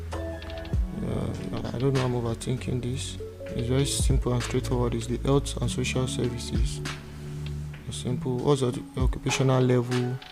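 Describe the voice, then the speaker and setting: A young Nigerian man with a Nigerian accent, talking through each step of a screen-recorded tutorial and muttering to himself at times. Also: mid-range voice. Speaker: tutorial presenter. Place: indoor room.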